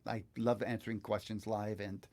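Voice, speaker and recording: A man's voice: fairly low pitch, little pitch movement, moderate pace, creaky, darkish tone. An older man giving a casual live online tutorial as a teacher, thinking aloud informally in an indoor studio. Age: older, 50s to 60s. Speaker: guitar teacher hosting a live online session.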